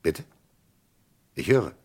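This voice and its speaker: An actor playing a gruff, probing police commissioner in a German radio crime drama, with a gravelly, husky voice and an insistent, commanding manner. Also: male voice, extremely slow. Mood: stern, pressing.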